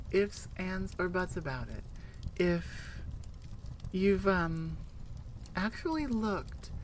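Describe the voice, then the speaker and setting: A woman's voice: on the low side, a little hiss or hum. Woman audio creator rambling to listeners, super into it, playful, casual.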